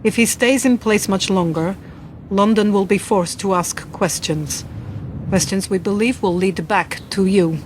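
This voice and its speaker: An actress playing a cool, teasing, world-weary Polish woman spy in a radio drama, speaking in a measured, formal way. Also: medium pitch, close to an everyday voice. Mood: cold, commanding. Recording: clearly noisy background.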